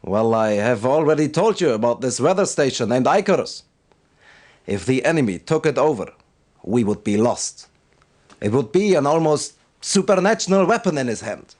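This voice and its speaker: A man plays a stern military commander briefing his officers in a video game. His mid-range male voice is solemn and devout. He speaks formally and deliberately, as one used to giving orders. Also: mid-range voice, lively intonation, a little mellow, close to an everyday voice. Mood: stern, urgent.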